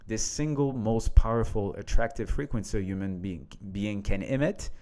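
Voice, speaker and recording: A man with a French accent talking in a relaxed, reflective way about mindset and leadership, laughing now and then. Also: on the low side, moderate pace. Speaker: co-host, coach. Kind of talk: casual conversation.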